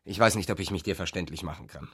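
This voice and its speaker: Scornful, jealous intellectual husband. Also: male voice, low voice, quick pace, husky. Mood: growing aversion. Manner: emphatic, didactic, scornful, spacing words out. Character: jealous, disapproving husband, brooding intellectual with growing aversion. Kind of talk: literary radio drama acting.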